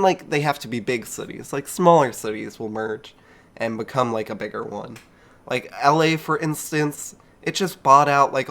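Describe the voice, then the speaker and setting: A man's voice: mid-range voice, fairly bright tone. Young male streamer, nasal from a cold, casual rambling commentary, chuckles a lot. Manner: rambling, self-mocking, chuckles often. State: congested with a cold. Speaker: video game streamer.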